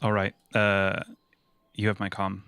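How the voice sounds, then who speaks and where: A man's voice: lowish voice, monotone, unhurried, pushed and bright, smooth, dry recording. A man playing a tabletop role-playing game, improvising narration and dialogue in a casual, wry and sweary way.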